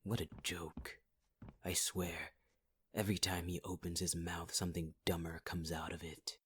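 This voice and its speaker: A man voicing a scarred, possessive fire-wielding villain in an ASMR roleplay, taunting in a low half-whisper. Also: little pitch movement, moderate pace, a little hiss or hum, close to an everyday voice. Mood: scornful.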